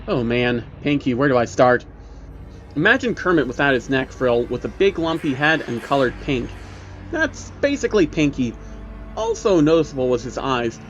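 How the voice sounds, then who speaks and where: Man, higher-pitched voice, wry storytelling narration, muffled lo-fi recording. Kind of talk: scripted storytelling narration in a casual reviewer's voice. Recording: dull lo-fi recording with faint noise.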